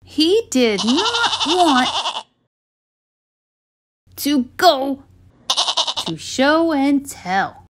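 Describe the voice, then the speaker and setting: A woman reading a children's story aloud in an expressive way with dramatic pauses. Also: sits high, noisy background. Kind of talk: animated read-aloud narration.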